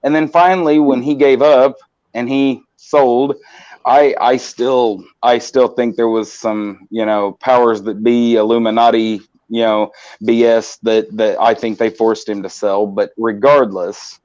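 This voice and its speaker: A man's voice: mid-range voice, fairly even intonation, unhurried, darkish tone. Man, stream host, passionate rambling talk, frequent restarts, muffled sound. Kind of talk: casual live-stream chat. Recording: slightly muffled audio.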